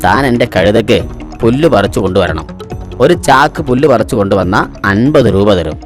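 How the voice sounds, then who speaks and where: A man voices a crafty cartoon boss with a lowish voice who lays out his plans in a slow, bossy way. Loud music runs under him. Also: lively intonation, natural voice. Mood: scheming. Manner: scheming, bossy, deliberate. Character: shrewd middle-aged male boss. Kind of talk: cartoon voice acting. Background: background music.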